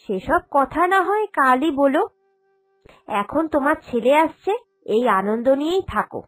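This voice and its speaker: A woman acts out a sensible young woman in a family cartoon. She speaks in a high voice with an earnest, reassuring manner. Quiet music sits underneath. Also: sing-song, normal speed. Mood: reassuring, explaining. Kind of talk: Bengali cartoon drama dubbing.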